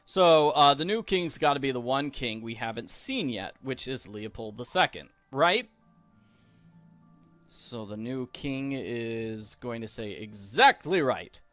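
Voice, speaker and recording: Male walkthrough host: casual, playful explainer, lively intonation, dry recording over faint music. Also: mid-range voice, measured pace, no echo. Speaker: video game walkthrough host. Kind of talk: casual game walkthrough commentary.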